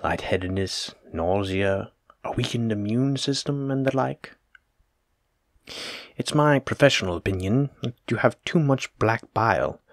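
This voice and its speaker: A man acts out a learned plague doctor from olden times in an immersive audio drama. His voice sits fairly low and is recorded dry, with little room sound. He addresses the listener as his patient in formal, wordy, reassuring phrases, always polite. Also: no echo. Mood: explaining. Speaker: physician examining a patient.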